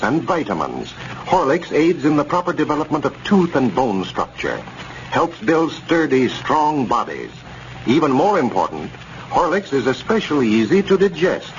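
A man with an American accent reading scripted narration and advertising as a 1930s radio announcer. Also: mid-range voice. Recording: noisy muffled 1930s radio broadcast.

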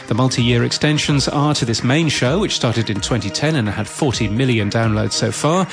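Male news host over prominent music. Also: mid-range voice. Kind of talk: scripted news bulletin narration. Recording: music bed behind voice.

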